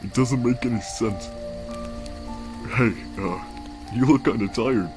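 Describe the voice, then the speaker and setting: A man voicing a weary, wisecracking skeleton big brother in a comic dub, casual and halting, with a tender, secretly anguished streak. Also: medium pitch, a little mellow, lightly acted. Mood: tired, wry. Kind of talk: comic dub character acting. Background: background music.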